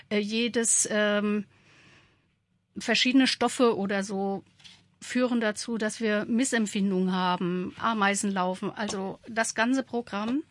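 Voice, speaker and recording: A woman's voice: mid-range voice, no echo. A woman in her sixties who leads a support group, explaining as a radio guest with frequent hesitations. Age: 60s. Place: radio studio.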